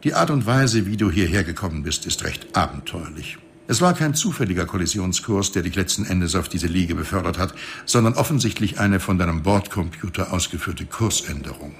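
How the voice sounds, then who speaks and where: Deep, gravelly, glib space-colony reanimator. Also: male voice, lively intonation, a little fast, slight background noise. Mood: wry, explaining. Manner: wry, flippant, explanatory. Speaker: actor in a radio drama. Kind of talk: German science fiction radio play acting.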